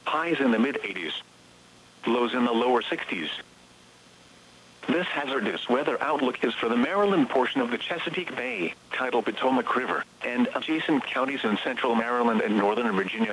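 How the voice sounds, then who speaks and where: A man's synthetic voice reading scripted automated weather bulletins in a flat, measured way, as heard on a weather radio broadcast. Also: medium pitch, slight background noise. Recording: weather radio broadcast, slightly muffled sound.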